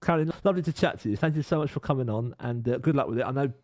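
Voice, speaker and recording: A quick-talking man with a mellow, warm tone and a dry, close sound. He hosts a radio studio interview in a brisk, encouraging manner. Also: medium pitch, no room sound. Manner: brisk, encouraging, frequent murmured agreement. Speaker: radio presenter.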